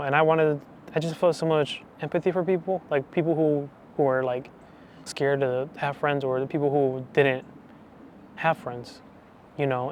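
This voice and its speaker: A man in his twenties giving a personal faith testimony, talking softly and hesitantly with many restarts. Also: mid-range voice, a little hiss or hum. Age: 20s. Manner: soft, hesitant, full of restarts and fillers. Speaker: channel host giving testimony.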